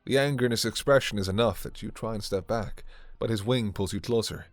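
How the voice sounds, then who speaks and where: A man's voice: on the low side, fairly quick, dry recording, soft background music. A man reading dramatic scripted second-person narration as a fantasy story narrator. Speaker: narrator and voice performer.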